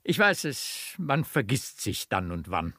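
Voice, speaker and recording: A man's voice: mid-range voice, big pitch swings, measured pace, sharp tone, no echo, clean studio sound, heavily acted. An actor playing an effusive, opinionated country baron in his fifties in a German radio play, courtly and blustering. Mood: indignant, prejudiced. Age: 50s.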